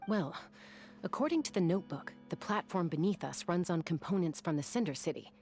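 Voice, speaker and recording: Low-voiced, self-assured gunslinger woman. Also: animated, darkish tone, soft background music. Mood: assured, analytical. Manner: composed, matter-of-fact, deliberate. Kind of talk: video game voice acting.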